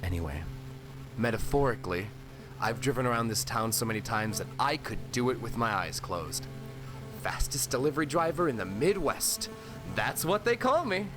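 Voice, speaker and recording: A man's voice: medium pitch, lively intonation, normal speed, natural voice. An actor playing a rattled delivery driver who rambles and corrects himself as he mutters to himself in an audio drama. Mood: rambling, wry. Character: rattled, rambling delivery driver disoriented after head injury. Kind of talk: post-apocalyptic audio drama monologue. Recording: radio transmission with music behind.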